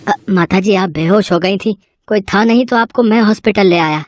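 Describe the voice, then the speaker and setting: A humble, respectful vegetable seller, a man played by a woman in a story cartoon. The voice is mid-pitched for a woman, earnest and pleading, with small hesitations. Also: normal speed, no echo, clean studio sound, soft background music, lightly acted.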